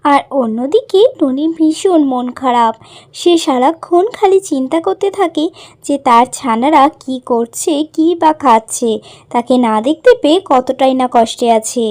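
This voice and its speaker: A woman's voice: high voice, a little slow, darkish tone. A woman narrating a scripted story, addressing young children directly as their storyteller.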